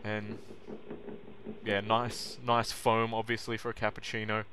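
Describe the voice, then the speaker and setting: Monotone young man, casual vlogger. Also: on the low side, measured pace. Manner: informal, self-deprecating. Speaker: vlogger demonstrating how to make coffee. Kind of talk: casual how-to narration. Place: small home kitchen, indoors. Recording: noisy kitchen recording with clicks and clatter.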